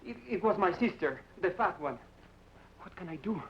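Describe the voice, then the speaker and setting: A nervous Mexican henchman in an old radio drama. He speaks halting, accented English in a slightly high, deferential whine. The sound is dull with faint hiss. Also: lively intonation, a bit bright. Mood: nervous, pleading. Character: cowed, excuse-making Mexican henchman, a man. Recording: muffled, noisy old radio recording.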